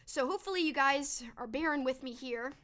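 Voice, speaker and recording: A woman's voice: sits high, a little fast, fairly bright tone. A young woman hosting a livestream, chatting upbeat to her viewers and thanking them one by one, her voice a little stuffed up. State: a bit stuffed up. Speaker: livestream host. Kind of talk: casual livestream wrap-up talking to chat.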